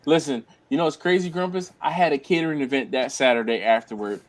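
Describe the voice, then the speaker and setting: A man's voice: a little high, fairly quick, noisy background. A man with an American accent hosting a casual late-night chat with friends, laughing often and repeating himself as he tells a story. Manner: laughs often, repeats "I'm telling you". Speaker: host.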